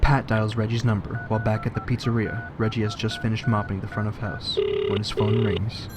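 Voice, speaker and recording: A man's voice: on the low side, little pitch movement, brisk, loud music behind the voice. A man reading scripted narration for an audio story as its narrator. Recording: noisy backdrop of vehicle sounds.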